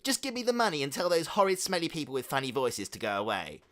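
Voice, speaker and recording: A man's voice: on the high side, huge pitch swings, fast, fairly bright tone, voice pushed up. A man voicing a spoiled, whiny teenage son, petulant and sulky. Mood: whining. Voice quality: whining, petulant.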